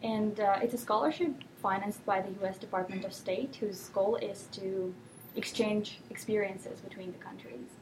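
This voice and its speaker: A young woman from Russia, whose first language is Russian, answering interview questions thoughtfully with many pauses and fillers. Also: medium pitch, fairly even intonation, noisy background. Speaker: guest.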